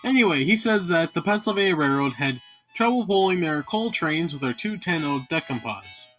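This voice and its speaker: A man's voice: a little high, expressive, moderate pace, a bit bright. A man hosting an online video series with casual, folksy and emphatic commentary addressed straight to viewers, over guitar and harmonica music. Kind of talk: casual scripted-then-improvised YouTube commentary.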